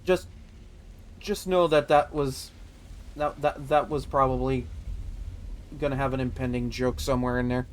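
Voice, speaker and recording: A man's voice: mid-range voice, little pitch movement, moderate pace, pauses often, slight background noise. A man streaming a video game, chatting casually, joking and rambling with frequent restarts. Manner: joking, rambling, self-interrupting. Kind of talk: casual gameplay commentary.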